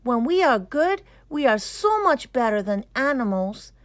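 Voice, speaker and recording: A woman's voice: on the high side, sing-song, measured pace, dry recording, voice pushed up. A woman voicing an earnest, curious young boy in a children's storybook, with an emphatic, eager delivery. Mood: earnest wondering.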